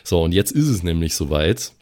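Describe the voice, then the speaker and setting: A man's voice: low voice, sing-song, brisk, a little mellow. A man co-hosting a podcast, chatting colloquially with friends in emphatic wording. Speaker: podcast co-host and player. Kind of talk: casual chat among friends.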